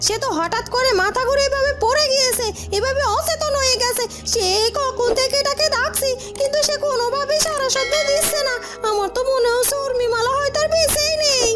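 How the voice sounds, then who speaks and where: A performer voicing a frantic, sharp-tongued young maidservant in a cartoon, exclaiming, scolding and pleading. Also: extremely high pitch, brisk, few pauses, loud music behind the voice. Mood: indignant, distressed. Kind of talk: cartoon dialogue.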